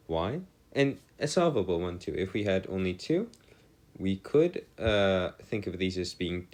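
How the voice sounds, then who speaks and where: A man giving an informal maths lecture as a tutor, explaining in formal wording and often correcting himself as he goes. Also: deep voice. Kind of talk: informal lecture working through equations.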